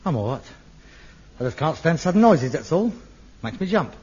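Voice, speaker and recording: A frustrated son, theatrical, mutters then rants, dull old tv audio. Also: male voice, fairly high pitch, sing-song, normal speed, darkish tone, slightly rough, exaggerated voice. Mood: defensive bickering. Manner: theatrical, swinging from wistful muttering to manic ranting. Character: frustrated middle-aged son, a rag-and-bone man with grand dreams. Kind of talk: 1970s TV sitcom acting.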